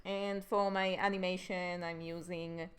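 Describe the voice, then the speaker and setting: A woman from Israel with an Israeli accent, chatting casually on a stream in a relaxed, dry, matter-of-fact way. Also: lowish voice, a little slow, clean tone. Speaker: animator and stream host.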